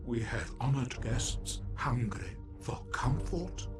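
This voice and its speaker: A man playing a wise, solemn alien mentor in a video game, speaking formally and reverently with breathy phrase endings. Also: medium pitch, expressive, music in the background. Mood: grave, calm. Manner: slow, reverent, formal, with pauses. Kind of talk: cinematic video game acting. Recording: clear background ambience noise.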